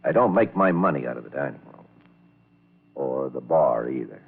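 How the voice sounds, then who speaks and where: Gruff jealous club owner in old radio drama, husky and bossy, tinny phone-like sound. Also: male voice, very deep voice, lively intonation, moderate pace, heavily acted. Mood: curt, businesslike. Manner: blunt, bossy, menacing. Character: gruff, jealous, threatening nightclub owner and husband. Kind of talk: old-time radio crime drama acting. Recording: tinny, narrow-band vintage radio recording.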